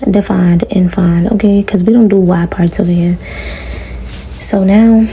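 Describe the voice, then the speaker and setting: A young woman with a raspy voice chatting casually to viewers as she styles her hair, relaxed and full of slang, in a small room. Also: fairly low pitch, slight background noise. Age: young adult. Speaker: beauty vlogger. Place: small room before a green screen.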